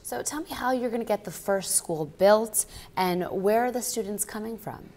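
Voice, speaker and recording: A woman's voice: mid-range voice, moderate pace, a little mellow. A woman with an American accent hosting a broadcast interview, with prepared introductions and questions. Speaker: TV interview host.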